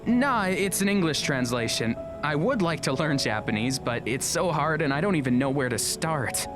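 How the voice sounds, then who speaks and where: Music plays behind a woman acting as a laid-back, modest teenager in an audio drama. The voice is on the low side with a lively rise and fall, casual and a little unsure. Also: normal speed, rough recording. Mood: relaxed. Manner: casual, slightly hesitant. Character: easygoing, modest, unsure high school student.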